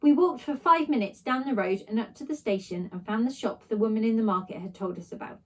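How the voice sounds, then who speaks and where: A woman's voice: fairly high pitch, a little fast, low-quality recording. A woman reading a children's story aloud expressively, narrating in a child's first person. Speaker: story reader, teacher.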